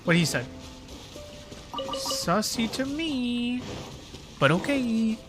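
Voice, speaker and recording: A man's voice: very high voice, very animated, extremely slow. A young man streaming a game, muttering wryly to himself as he puzzles through it. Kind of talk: casual gameplay commentary. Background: game music.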